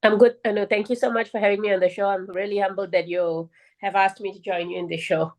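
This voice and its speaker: A woman's voice: medium pitch, steady tone, fast talker, darkish tone. A woman from Malaysia, a guest in an interview, telling her story earnestly with frequent fillers and restarts.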